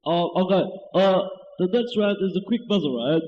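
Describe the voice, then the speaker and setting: Teenage boy as flustered, high-voiced quiz host. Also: expressive, moderate pace. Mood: flustered, polite. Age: teens, 15. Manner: hesitant, apologetic, frequent uhs. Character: polite, flustered male sports quiz show host. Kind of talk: improvised amateur radio quiz spoof. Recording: muffled, noisy, echoey home tape recording.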